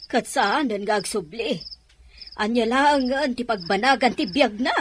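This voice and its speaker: A woman's voice: fairly high pitch, a little slow, background noise, natural voice. An actress playing an anxious, reproachful middle-aged mother in a radio drama, speaking fretfully. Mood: worried. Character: anxious, reproachful mother worried about her missing daughter.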